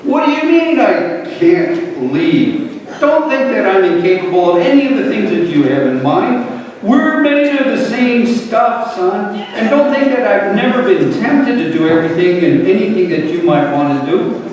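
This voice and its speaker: A man acting high-pitched old father, echoing hall. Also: lively intonation, darkish tone. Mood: teasing, defiant. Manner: theatrical, measured, emphatic. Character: weary, wry, long-suffering old father of a rebellious son. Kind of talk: dramatic reading of a parable. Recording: roomy echoing hall, distant microphone.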